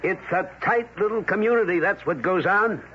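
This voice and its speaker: A cynical, worried newspaper colleague, played by an actor in an old radio drama, who warns dryly and cautiously. His voice is somewhat high. The sound is muffled, with faint hiss underneath. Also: moderate pace, natural voice. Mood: wary.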